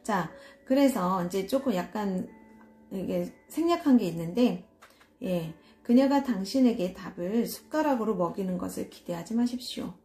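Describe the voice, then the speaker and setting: A woman from Seoul reading and explaining tarot cards in a casual solo video, talking slowly and hesitantly with many fillers and soft laughs. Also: medium pitch, animated, faint music behind. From Seoul, South Korea. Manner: slow, hesitant, self-deprecating, frequent fillers and soft laughs. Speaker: tarot reader.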